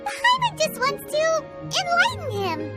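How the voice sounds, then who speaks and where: Super high squeaky cheeky fairy kid for a game, bouncy and swooping all over, music behind. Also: female voice, wildly swooping pitch, slow pace, heavily acted. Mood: earnest, insistent. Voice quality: squeaky, childlike. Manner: exclamatory, refers to herself in third person. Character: tiny excitable childlike fairy sidekick girl, cheeky and boastful. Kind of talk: animated game voice acting.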